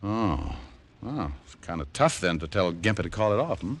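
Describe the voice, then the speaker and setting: An actor playing a breezy, wisecracking American private detective with a glib, wry delivery, in an old-time radio drama. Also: male voice, deep voice, sing-song, normal speed. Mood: businesslike.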